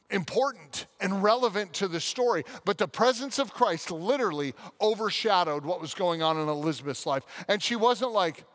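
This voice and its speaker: Male pastor, thirties, lively sermon, high voice, headset mic, big stage. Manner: animated, bursts into loud acted quotes. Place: church stage.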